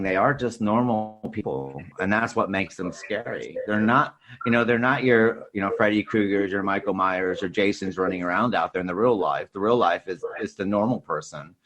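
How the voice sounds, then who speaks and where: A man's voice: fairly low pitch, a bit bright, rough recording. A man talking casually and ramblingly as a podcast host in a film discussion, often tacking on filler phrases. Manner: rambling, repeats "you know" and "sort of thing".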